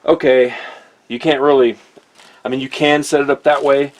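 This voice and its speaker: A man's voice: mid-range voice, flat delivery, normal speed, slight background noise. A man giving a casual, easygoing hands-on product review in an informal, conversational way.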